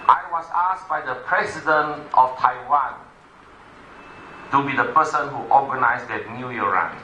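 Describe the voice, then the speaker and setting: A man's voice: mid-range voice, unhurried, bright, forceful tone, echoey room, highs cut off, slight background noise. A middle-aged man giving an emphatic stage presentation to an audience, repeating his key points. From Malaysia. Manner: emphatic, repetitive, addresses the audience directly. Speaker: motivational business speaker.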